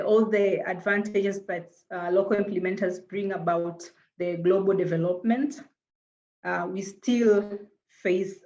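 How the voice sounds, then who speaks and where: A woman from Tanzania with a Tanzanian accent speaks over a dull, lo-fi call line. Her voice sits low and stays quite flat in pitch. She talks earnestly as a panelist and restarts often. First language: Swahili. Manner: earnest, hesitant with frequent repeated words and fillers. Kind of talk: panel discussion answer.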